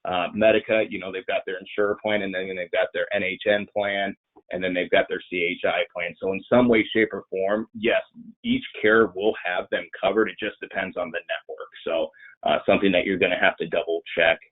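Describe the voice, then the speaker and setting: Muffled, band-limited webinar audio carries a very low-pitched man who sounds congested and nasal from a cold. He answers questions in a loose, rambling, conversational way, and his voice is somewhat rough rather than clean. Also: fairly even intonation, fairly quick. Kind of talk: informal webinar Q&A. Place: online presentation.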